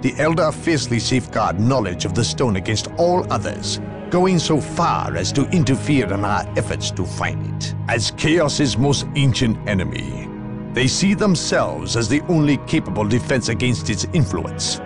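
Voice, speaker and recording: Game inquisitor: a man playing a haughty, zealous evil-hunter, theatrical and expressive, measured gravity. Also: medium pitch, over music, close to an everyday voice. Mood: grave, ominous. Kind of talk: dramatic video game cutscene acting.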